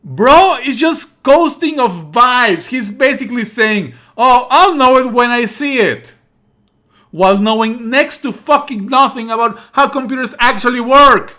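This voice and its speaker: A man's voice: extremely high pitch, a little slow, pushed and bright. A man giving casual live game commentary in a blunt, exasperated, sweary manner. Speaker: streamer playing and commenting on a visual novel. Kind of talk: casual live game commentary, partly reading dialogue aloud.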